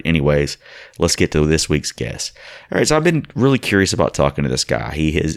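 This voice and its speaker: A man's voice: rumbling low, no echo, clean studio sound. A man hosting a casual radio talk show, running through upbeat announcements and then stumbling a little as he asks his guest questions. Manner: upbeat promo patter, halting when asking questions.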